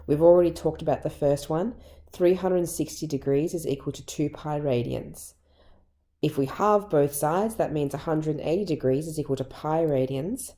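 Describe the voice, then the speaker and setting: Croaky low-voiced Australian teacher woman. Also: normal speed, dark tone. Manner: patient, step-by-step explaining. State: croaky voice from illness. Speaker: maths teacher. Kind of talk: recorded maths lesson.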